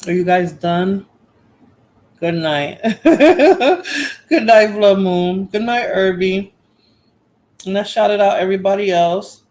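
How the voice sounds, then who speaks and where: A woman's voice: mid-range voice, slow, long pauses, darkish tone. A woman with a Bronx accent hosting a casual livestream chat, laughing often and repeating herself. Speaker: livestream host.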